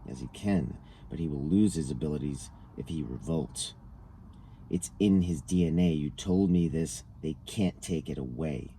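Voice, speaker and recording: A man's voice: a bass voice, normal speed, warm tone. A man reading a scripted interview dialogue aloud, taking each speaker's lines in turn. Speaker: reader of a written transcript. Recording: noticeable background noise.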